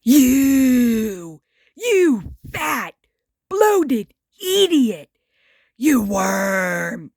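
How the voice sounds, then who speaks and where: A woman voicing an excitable, hot-tempered male cartoon chihuahua who speaks emphatically. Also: fairly high pitch, very slow, no room sound, studio quality, heavily acted. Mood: furious. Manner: emphatic, hollering insults.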